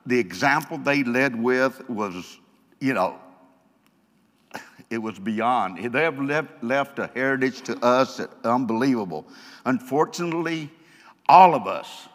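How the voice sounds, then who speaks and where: A man's voice: mid-range voice, expressive, takes it slowly, fairly bright tone. Stuttering male church speaker, folksy humorous testimony, reverberant hall. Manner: folksy, joking storyteller. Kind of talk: testimony talk to a congregation.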